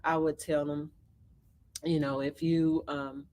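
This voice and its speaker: A soft-spoken middle-aged woman telling stories as a talk show guest, halting and restarting, breaking into laughs. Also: low-pitched, flat delivery, a little hiss or hum. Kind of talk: casual storytelling interview. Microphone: video call.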